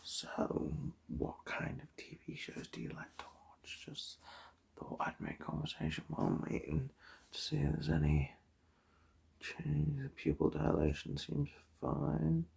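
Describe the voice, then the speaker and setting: A breathy, very husky voice delivers an ASMR medical role-play as an eye examiner. The tone is mellow and full of gravelly fry, slipping often into whispers. The delivery is unhurried and politely clinical, with chatty murmured asides. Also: medium pitch, big pitch swings.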